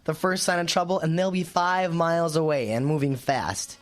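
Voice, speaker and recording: A man's voice: on the high side, normal speed, close to an everyday voice. A man playing a teasing, sarcastic and imaginative teenage twin brother in a radio drama.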